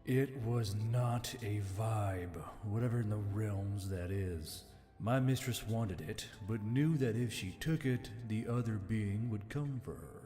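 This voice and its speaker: A man's voice: fairly low pitch, slow pace, dark tone. A man playing an ancient, aloof spirit bound in a torque, speaking in formal, archaic storytelling phrases for an audiobook. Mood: exasperated. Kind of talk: scripted audiobook character acting. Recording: long reverb, music behind.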